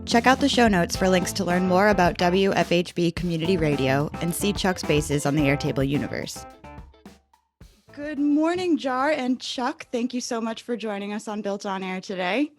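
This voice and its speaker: A woman's voice: medium pitch, lively intonation, moderate pace, over music. A woman hosting a podcast, presenting and welcoming guests in a prepared interview style. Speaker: podcast host. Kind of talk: scripted introduction and interview hosting.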